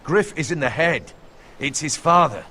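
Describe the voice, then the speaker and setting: An earnest counsellor in a video game drama. The speech is formal and principled, with lively, wide-ranging pitch and a faint noise behind it. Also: male voice, measured pace. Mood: grave, concerned. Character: earnest, principled male counsellor to a young lord.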